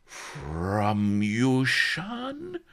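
A huge, gluttonous storybook merchant, voiced by a male reader. He speaks in a raised, husky, gasping voice, anxious and fawningly deferential, with very animated swoops. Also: fairly high pitch, unhurried, pitched above the performer's own voice, exaggerated voice. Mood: rapt gasping.

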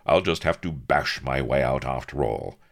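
A man's voice: rumbling low, expressive, moderate pace, vocal fry, exaggerated voice. Man playing a florid, swooping pirate captain. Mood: contrite, noble. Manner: florid, archaic, hearty. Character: dashing, eager male pirate captain, grandly chivalrous.

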